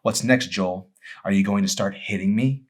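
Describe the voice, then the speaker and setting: A man's voice: lowish voice, moderate pace, natural voice. A man voicing a bitter, fed-up wife in an audiobook, cutting, sarcastic and emphatic. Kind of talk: dramatic audiobook character acting.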